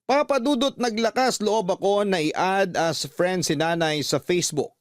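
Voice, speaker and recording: A man's voice: high-pitched, a little slow, dry recording. Dry, close Filipino male storyteller. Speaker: radio storyteller reading a listener's letter. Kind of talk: scripted first-person story narration.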